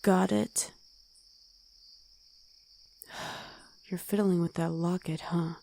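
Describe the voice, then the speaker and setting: A woman voicing a gruff, protective wolf girl who talks bluntly in an ASMR roleplay drama. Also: on the low side, fairly even intonation, very slow, dark tone, exaggerated voice. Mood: gruffly caring. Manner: blunt, commanding, gruffly teasing.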